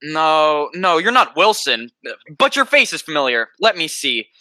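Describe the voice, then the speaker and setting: Bombastic crackpot president, acted by a young man, amateur radio play; sharp, ringing tone, very dry recording. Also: a little high, sing-song, unhurried, dry recording, full character voice. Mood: indignant. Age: young adult. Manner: bombastic, pompous. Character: blustering deluded man who thinks himself President Roosevelt.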